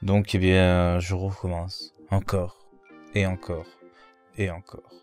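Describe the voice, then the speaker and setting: A man who voices parts in a fan dub, speaking in a deadpan, self-deprecating way. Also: sits low, takes it slowly, soft background music. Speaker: fandub voice actor. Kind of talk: casual recorded answer.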